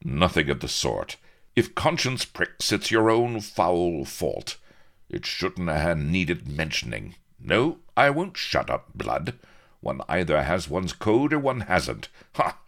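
A man's voice: very deep voice, very animated, slow pace, creaky, fairly bright tone, heavily acted. Man playing a haughty, clipped naval captain. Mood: haughty indignation. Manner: clipped, casual disdain, old-fashioned upper-class phrasing.

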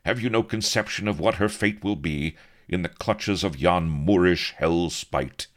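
A man's voice: sits low, a little slow, pitched above the performer's own voice. A man voicing a haughty, stiff-necked captain who speaks in pompous, archaic phrases, indignant and grand.

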